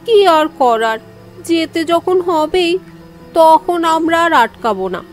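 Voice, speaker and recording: A woman voicing a polite, uneasy young village wife in an animated story, with drawn-out questioning phrases. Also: very high-pitched, faint music behind, pitched above the performer's own voice, heavily acted. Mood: resigned, firm. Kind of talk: animated story character dialogue.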